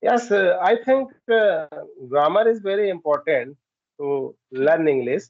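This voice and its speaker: An Indian man whose first language is Hindi talks in slow beginner English, full of "uh" and restarts. His voice sits a little high. The call audio sounds dry and dull. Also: animated, no echo. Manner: halting beginner English, many fillers. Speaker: English learner. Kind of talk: casual practice conversation. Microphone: app call.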